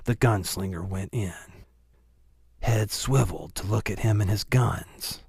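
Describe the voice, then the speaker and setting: A man reads literary fiction as an audiobook narrator. His voice is fairly low with a strong creak, recorded very dry and close. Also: a little slow, darkish tone, no room sound.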